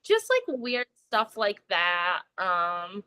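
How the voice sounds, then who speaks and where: A woman's voice: mid-range voice, wildly swooping pitch, extremely slow, sharp tone, clear, resonant tone. A young woman from the United States, a guest in a casual video-call conversation, chatting freely with plenty of "like" fillers and laughing as she talks. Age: young adult. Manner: chatty, lots of "like" fillers, laughs while talking. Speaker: interview guest.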